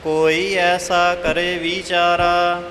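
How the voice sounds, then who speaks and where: A man chanting scripture in a slow, measured, steady recitation as a student. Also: sits high, in a large hall, faint music behind.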